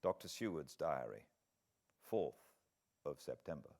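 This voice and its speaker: Deep-voiced British man, expressive narrator. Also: measured pace, vocal fry. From Britain. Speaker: audiobook narrator. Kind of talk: dramatic literary narration.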